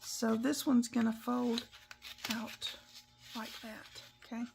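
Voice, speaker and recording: A slightly croaky woman walks through a craft project step by step, chatty and unhurried. Her voice sits a bit high, and she takes her time between phrases. A faint hiss runs under the recording. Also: flat delivery. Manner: chatty, instructive, talks while working with hands. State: croaky, clearing her throat. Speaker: papercraft tutorial host. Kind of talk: casual step-by-step craft demonstration.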